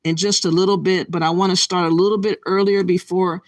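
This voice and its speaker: A woman's voice: lowish voice, flat delivery, fairly quick, no room sound. Indignant woman ranting, close and dry. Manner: indignant, ranting, addresses viewers as y'all. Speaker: commentary channel host. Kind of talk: reaction commentary.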